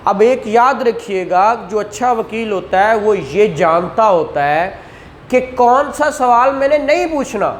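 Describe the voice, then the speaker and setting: High-voiced man teaching a law class. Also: lively intonation, unhurried, a little hiss or hum. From Pakistan. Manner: explains with rhetorical questions and acted examples. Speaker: law lecturer. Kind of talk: classroom lecture.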